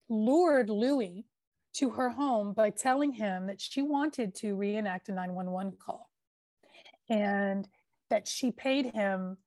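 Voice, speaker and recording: A woman tells a true-crime case on a podcast in a chatty, emphatic way, leaning on fillers as she goes. She is recorded close and dry, with no room sound. Her pitch sits in a middle range for a woman. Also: a little mellow, dry recording. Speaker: podcast host. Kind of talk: casual true-crime storytelling.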